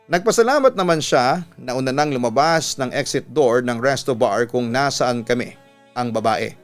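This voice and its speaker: A man's voice: mid-range voice, lively intonation, moderate pace. A man with a Filipino accent, a radio storytelling host, reading a listener's letter as scripted narration. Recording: music bed under the voice. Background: instrumental music.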